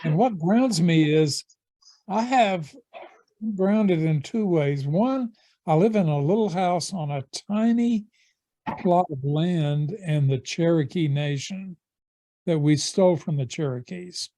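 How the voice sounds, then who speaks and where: A man introducing himself slowly and thoughtfully on a video call from home. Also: a little high, warm tone, no room sound. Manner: slow, thoughtful, with pauses. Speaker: meeting participant. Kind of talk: casual self-introduction.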